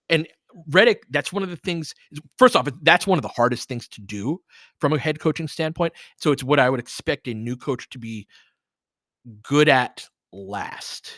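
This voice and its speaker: A man's voice: mid-range voice, fairly bright tone, dry recording, clean studio sound. A man hosting live basketball commentary as a partisan fan, mixing clipped play calls with conversational tactical breakdowns. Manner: partisan fan calling plays in short clipped phrases, explaining conversationally.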